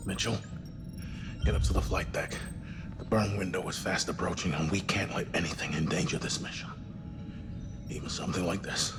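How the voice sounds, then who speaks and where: Male actor as grave mission commander, sci-fi horror game. Low, measured, authoritative, music underneath. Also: fairly even intonation, lightly acted. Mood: firm, commanding.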